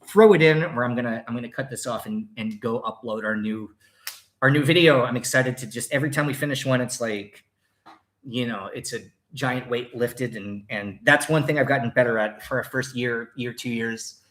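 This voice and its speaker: A man's voice: medium pitch, normal speed, a bit bright. A man in his thirties hosting a casual livestream, answering viewer questions in a rambling, chatty way full of fillers and restarts. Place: home in Thailand.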